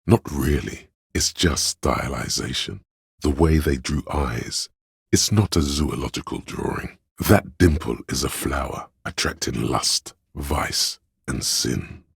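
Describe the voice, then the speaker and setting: An actor playing the grandiose, artsy inner voice of a detective's imagination in a video game, narrating in a dramatic, florid, deliberate way. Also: male voice, dry recording, studio quality, exaggerated voice. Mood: grandiose, enthused. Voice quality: gravelly, husky bass. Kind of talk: theatrical, literary game narration.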